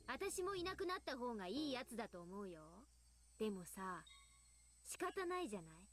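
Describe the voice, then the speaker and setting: A woman's voice: fairly high pitch, big pitch swings, fast talker, a bit bright, a little hiss or hum. Cold, menacing teenage girl. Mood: cold accusing. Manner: cold, creepy, pointed. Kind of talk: Japanese visual novel voice acting.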